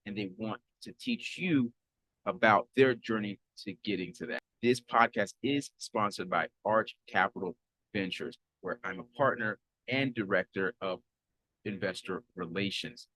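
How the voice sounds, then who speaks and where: A man's voice: fairly low pitch, unhurried, low-quality recording. A man in his thirties, from Haiti and raised in Florida, hosting a podcast and introducing himself in an upbeat, earnest way with frequent fillers and restarts. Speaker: podcast host.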